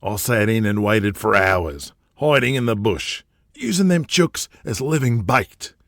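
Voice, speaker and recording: A man's voice: on the low side, lively intonation, unhurried, dry recording, clean studio sound. A man playing a brash, boastful, profane Australian big-game hunter and media tycoon in an audio drama, with an intimidating manner. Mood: boastful reminiscing.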